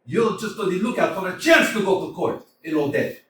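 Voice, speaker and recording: Guy doing a cranky old landowner dad, high lively voice, echoey room, mic far off. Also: fairly quick, a little hiss or hum. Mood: angry. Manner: blustering, scolding. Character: irritable old landowner father. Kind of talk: staged reading. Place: small theater stage.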